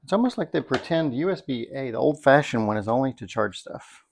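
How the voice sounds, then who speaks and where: A middle-aged man with a creaky, gravelly edge to his mid-pitched voice. He talks like a reviewer chatting to his viewers, unscripted and easygoing, working things out aloud in a folksy way. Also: animated, brisk, slight background noise. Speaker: product reviewer, video host. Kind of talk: casual unscripted product review.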